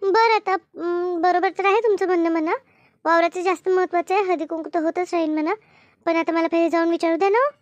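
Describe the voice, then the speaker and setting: A woman's voice: very high voice, steady tone, a little fast, no room sound, highs cut off. A woman playing a busy, talkative village housewife in a comedy cartoon, chatting colloquially with a rural Maharashtrian accent. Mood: hesitant. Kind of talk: animated comedy cartoon dialogue.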